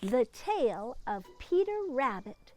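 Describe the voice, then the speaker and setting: A woman reading a children's story aloud in an expressive, teacherly way, with chatty asides and questions to young listeners. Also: a little high, slow pace, a little hiss or hum. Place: outdoors in a garden.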